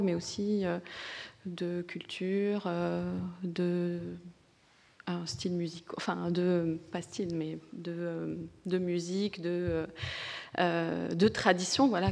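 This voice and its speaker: Lebanese-born woman on a panel, kinda gravelly, lots of euh, big echoey hall. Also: on the low side, a little mellow. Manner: hesitant, many fillers and restarts, laughing. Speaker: comics author on a panel. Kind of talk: conference panel talk. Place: lecture hall. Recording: reverberant lecture hall.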